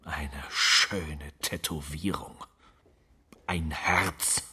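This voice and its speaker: An actor playing a brooding, suspicious, intense man in a radio crime drama, with a husky voice. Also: fairly even intonation, extremely slow, bright, forceful tone, exaggerated voice. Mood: brooding, uneasy. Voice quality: very low, husky.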